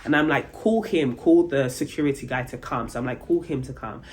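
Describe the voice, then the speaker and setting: A young adult woman vlogging about her travels, telling a story casually and acting out the dialogue with big jumps in pitch. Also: fairly quick, slight background noise. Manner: chatty, many fillers like "like" and "um".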